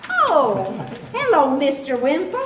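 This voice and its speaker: Casting: actress as sweetly firm, inquisitive American wife, high animated voice, wry radio comedy delivery. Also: female voice, slow, over music, heavily acted. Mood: politely curious. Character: patient, inquisitive middle-aged wife, gently teasing. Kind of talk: old-time radio comedy acting. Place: clubhouse hall, live performance. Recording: echoey clubhouse, faint noise.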